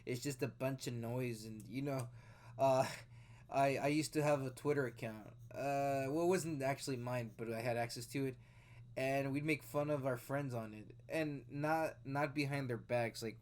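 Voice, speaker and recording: Rambling twentysomething man reacting to news. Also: mid-range voice. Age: 20s. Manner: rambling, conversational, with frequent fillers and restarts. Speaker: video host reacting to news. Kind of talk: casual commentary.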